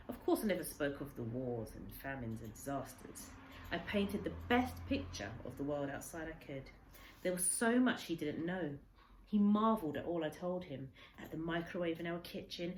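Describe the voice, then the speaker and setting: A woman reading a children's story aloud as a teacher, in expressive narration. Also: lowish voice. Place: indoor room with a window. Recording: echoey room with faint background noise.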